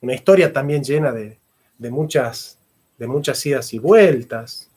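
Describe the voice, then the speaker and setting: A man's voice: mid-range voice, expressive, measured pace, a little mellow, slightly rough. A middle-aged man, a philosopher and history teacher giving a fervent lecture and repeating phrases for emphasis. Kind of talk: impassioned lecture.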